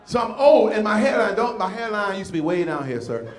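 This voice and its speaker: Animated man in his fifties doing stand-up. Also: sits high, fairly quick, echoey room, a little hiss or hum. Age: 50s. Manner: animated storytelling, acting out voices. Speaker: stand-up comedian. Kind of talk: stand-up comedy set. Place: comedy club stage with live audience.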